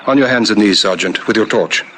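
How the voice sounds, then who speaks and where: An actor playing a brisk, methodical police lieutenant in a vintage radio detective drama, questioning in a clipped, authoritative but courteous way. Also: male voice, on the low side, a bit bright, close to an everyday voice. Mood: brisk, businesslike. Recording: old radio broadcast with background noise.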